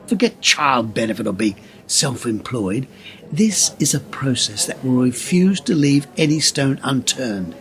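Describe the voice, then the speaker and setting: An actor in a radio play playing an impassioned whistleblower, speaking in an emphatic, conspiratorial way. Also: male voice, a little high. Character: impassioned male whistleblower pressing a wary journalist.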